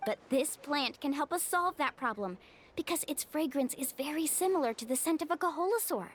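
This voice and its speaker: A woman's voice: sits high, animated, a little fast, slight background noise. A woman playing a spirited, friendly young guide in a video game, speaking in an upbeat, confident way.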